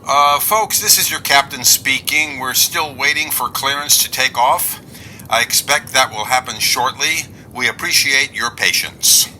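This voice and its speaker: A man's voice: mid-range voice, measured pace, pushed and bright, a little hiss or hum, poor audio. A man playing a reassuring autopilot airline captain making breezy, official cabin announcements in a radio drama.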